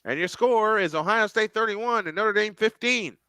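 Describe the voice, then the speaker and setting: A man's voice: high voice, moderate pace, pushed and bright, smooth, no echo. A man hosting a live stream, calling plays as a fan commentator and reading viewer comments aloud. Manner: calls plays and reads viewer chat aloud.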